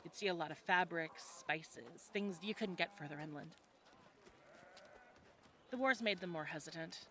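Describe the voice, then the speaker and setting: A woman playing a friendly, teasing medieval fantasy tavern local in an audio roleplay, talking in a conversational, gently instructive way to a newcomer. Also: lowish voice, normal speed, slight background noise, close to an everyday voice. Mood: guiding. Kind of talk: audio roleplay acting, adventure ASMR.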